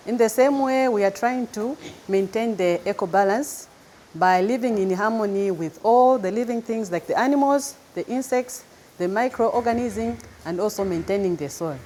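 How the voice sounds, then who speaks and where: A woman's voice: mid-range voice, a little mellow. A woman from Zambia gives a documentary interview as a farming trainee. She has a Zambian accent and a mid-range voice, with faint ambient noise behind her.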